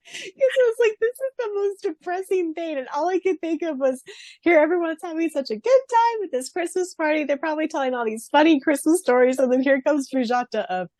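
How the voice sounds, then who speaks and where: A woman's voice: high voice, animated, a little fast, darkish tone, dry recording. A woman podcast co-host chatting casually about a book in an informal, jokey way, mimicking imagined lines. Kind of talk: casual book-discussion chat.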